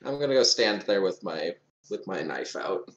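A man's voice: sits low, expressive, moderate pace, fairly bright tone. Guy gamer, muffled roomy mic, voice swings up and down, wry and crude. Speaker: tabletop game player. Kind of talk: casual joking chat. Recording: muffled mic in echoey room.

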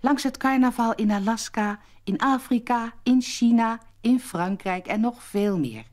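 A woman's voice: fairly high pitch, unhurried, a little mellow. A woman narrating a children's story from a script as its storyteller.

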